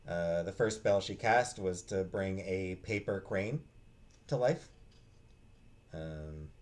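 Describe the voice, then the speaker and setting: A man giving a casual, unscripted book talk in a halting way, searching for his words. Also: low voice. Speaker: book reviewer, host.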